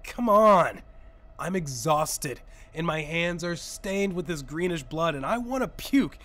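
Sarcastic, higher-voiced male survivor. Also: expressive, a little slow, a bit bright. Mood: sarcastic, fed up. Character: sarcastic, whining, exhausted man, alien-ship survivor. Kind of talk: dramatic sci-fi audio drama acting.

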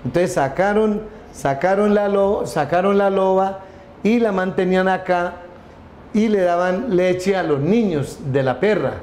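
A man's voice: high voice, a little slow, a little mellow. A middle-aged man telling a story in a casual interview, rambling with many restarts and repeated words. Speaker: company founder, interview guest. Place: room with wooden wolf statue, indoors. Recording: echoey room, faint background noise.

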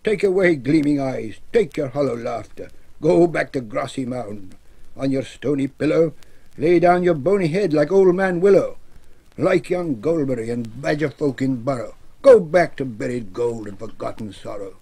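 Merry old woodland man, voiced by a man: sing-song, commanding, mellow and husky. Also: medium pitch, animated, moderate pace.

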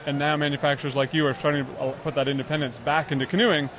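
A man in his thirties hosts an interview at an indoor trade show booth. He talks quickly, in a slightly higher voice, holding a handheld mic. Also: level tone, fairly bright tone. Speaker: host.

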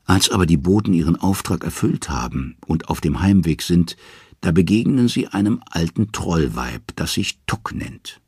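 A man's voice: low voice, animated, moderate pace, darkish tone, slightly rough, dry recording, studio quality. A man narrating mythic tales as a storyteller, in a scripted, dramatic audiobook style. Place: dry studio.

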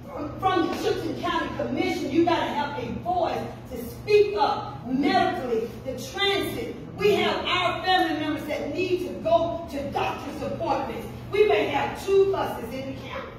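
A woman's voice: sits high, normal speed, hardly any pauses. A middle-aged woman with a Southern US accent gives an impassioned, colloquial campaign speech as a political candidate. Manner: impassioned, emphatic, colloquial public speaking. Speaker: political candidate, town councilwoman. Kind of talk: campaign speech at a community gathering. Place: medium-sized banquet room, indoors. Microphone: camera a few metres away at a dining table. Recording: roomy, distant, noisy phone recording from audience.

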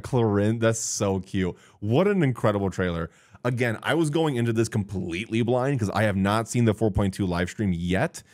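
A man's voice: lowish voice, a little mellow, dry recording. A young man giving enthusiastic, exclamatory, casual reaction commentary as a streamer, speaking close into a desk microphone. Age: young adult. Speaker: streamer reacting to a game trailer. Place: small home streaming room, indoors. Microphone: close desk microphone on an arm. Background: music from the game trailer.

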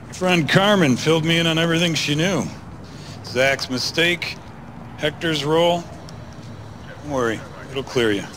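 An actor playing a steady, reassuring undercover lawman in a video game, measured and approving. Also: on the high side, background noise, close to an everyday voice. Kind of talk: video game cutscene acting.

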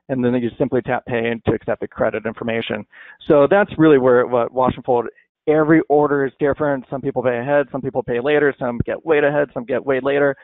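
A man presenting a software walkthrough in a webinar, talking casually with fillers and restarts. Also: mid-range voice, creaky, no echo. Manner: conversational, many fillers and restarts. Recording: dry tinny phone-like audio.

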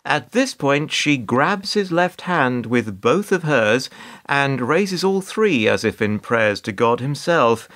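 A man narrating a radio play as a wry, put-upon storyteller, measured and sardonic. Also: medium pitch, sing-song, fairly bright tone. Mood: wry, dry. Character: wry, put-upon male narrator recalling awkward encounters.

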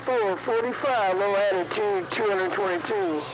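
A man's voice: high voice, normal speed, muffled recording. A man calling out and repeating his questions as an operator over CB radio. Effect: CB radio transmission. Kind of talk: CB radio call-outs and questions. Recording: very noisy CB radio signal.

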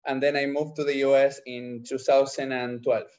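Argentine guy native spanish speaker, kinda halting interview answers, muffled lo-fi call audio. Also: medium pitch, level tone, darkish tone. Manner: halting, searching for words. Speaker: entrepreneur, podcast guest. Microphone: video call microphone. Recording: dull, lo-fi video call.

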